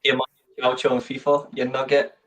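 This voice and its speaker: A man's voice: mid-range voice, completely flat delivery, fairly quick, a bit bright. Guy joking around during a footy watchalong, sounds a bit far off the mic. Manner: banter, joking, swearing. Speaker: watchalong co-host. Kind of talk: casual football chat.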